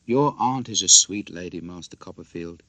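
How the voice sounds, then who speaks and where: A man's voice: sits low, normal speed, sharp tone, no room sound, voice pushed down. A man voicing an oily, falsely humble young clerk. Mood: fawning, humble. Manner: fawning, obsequious, self-abasing. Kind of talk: audiobook character acting.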